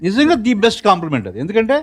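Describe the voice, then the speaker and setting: A man chatting casually in an interview, telling stories in an animated way and acting out quotes. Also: high voice, fairly quick. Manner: animated storytelling, acts out quotes. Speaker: film lyricist and writer.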